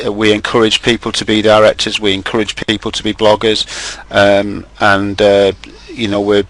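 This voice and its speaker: A man hosting a casual group call, talking loudly and enthusiastically. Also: on the low side, poor audio. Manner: loud, enthusiastic, upbeat.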